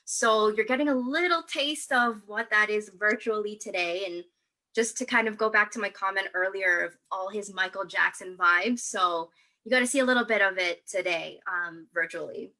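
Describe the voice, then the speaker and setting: A woman with a bright, clear-cut voice hosting an online concert. She chats casually and eagerly as she hands over to the next act. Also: mid-range voice, moderate pace. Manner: chatty, enthusiastic, loose phrasing. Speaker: concert host. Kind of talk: introducing acts on a livestream.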